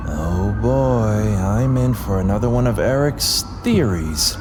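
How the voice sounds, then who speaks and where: An actor playing a weary, sarcastic but dedicated paramedic driver in an audio drama, gruff and exasperated. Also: male voice, on the low side, expressive, takes it slowly. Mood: sardonic. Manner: sardonic, exasperated, swearing. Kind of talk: audio drama acting with internal narration. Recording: clear vehicle ambience behind the voice. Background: ambulance and traffic sound effects.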